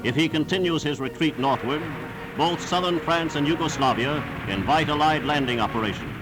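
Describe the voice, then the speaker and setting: A man's voice: a little high, fairly quick, music in the background, low-quality recording. A man reading scripted 1940s newsreel narration in a formal, emphatic, declamatory manner on a vintage soundtrack.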